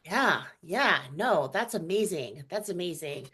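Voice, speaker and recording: A woman's voice: lowish voice, animated, a little slow, fairly bright tone, breathy edge. A woman hosting an interview, upbeat and affirming, with casual fillers. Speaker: podcast host.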